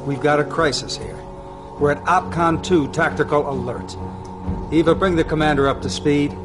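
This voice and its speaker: A man's voice: fairly high pitch, fairly even intonation, moderate pace, over music, natural voice. A middle-aged man playing a stern, businesslike army general, giving a formal, authoritative mission briefing in a game.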